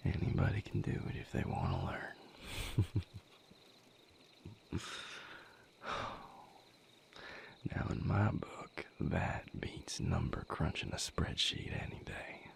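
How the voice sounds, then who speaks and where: A man playing a gentle, patient vampire mate in an intimate audio roleplay, coaxing softly in a breathy, gravelly whisper. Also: low voice, wildly swooping pitch, slight background noise, heavily acted. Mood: warm, playful. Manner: soothing, coaxing, unhurried guidance.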